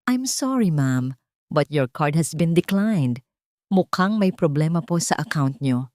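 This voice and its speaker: A woman voicing a cool, polite boutique cashier in an audio drama, calm but firm. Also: sits low, unhurried, no echo, clean studio sound.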